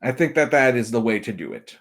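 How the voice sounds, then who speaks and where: A man's voice: mid-range voice, animated, quick pace, fairly bright tone. A man chatting casually and giving emphatic opinions as a podcast guest, repeating points for effect. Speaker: podcast guest, film and game pundit.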